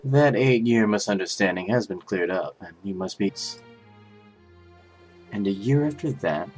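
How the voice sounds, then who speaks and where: A grave, formal chief prosecutor, as a man voices him, speaking in a low, measured tone. Faint music plays under the fan dub. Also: lively intonation, a little mellow, close to an everyday voice.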